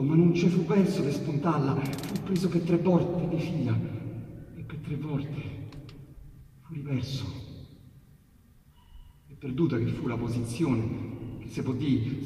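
Roman man reciting verse in a hall. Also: fairly high pitch. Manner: theatrical, surging then dropping to hushed. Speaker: reciter of dialect sonnets. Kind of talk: dramatic poetry recitation. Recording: roomy hall sound from far off, with piano.

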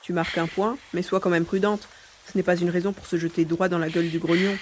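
A woman's voice: fairly low pitch, level tone, quick pace, slight background noise, lightly acted. A woman playing a watchful, protective partner guiding a sci-fi mission in an audio drama, with a concerned, cautioning manner. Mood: concerned, attentive.

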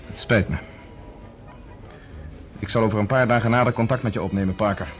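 An actor playing a confident, persuasive underground leader in a radio drama. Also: male voice, on the low side, steady tone, a little fast, faint music behind, close to an everyday voice.

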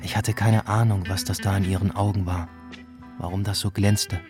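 Low voiced actor playing a cop telling his story, wistful noir radio drama, guitar music behind. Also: level tone, moderate pace, lightly acted. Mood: wistful, reflective. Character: male cop in love with a gang of women robbers, wistful. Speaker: narrator and character. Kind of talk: first-person radio drama narration. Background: music, plucked guitar.

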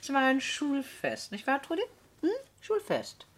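A bossy spiteful middle-aged woman in a radio play, mocking and insistent, very swoopy pitch. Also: huge pitch swings, measured pace, full character voice. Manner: theatrical, scornful, insistent. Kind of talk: German radio drama acting.

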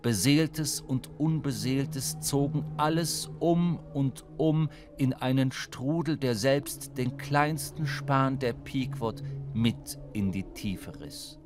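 An actor playing a sombre sailor who narrates a shipwreck in a measured, dramatic literary radio play. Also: male voice, mid-range voice, music in the background, natural voice. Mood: grave, dramatic.

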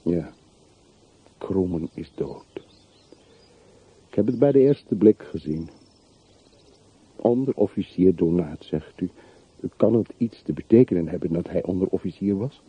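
A man's voice: low-pitched, animated, measured pace, pauses often, breathy edge, exaggerated voice. An actor in a radio drama playing a brooding, bitter man from a rich family who mourns his dead in melancholy monologue. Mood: grieving, subdued. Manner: melancholy, reflective, literary monologue. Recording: muffled, narrow-band old radio play recording.

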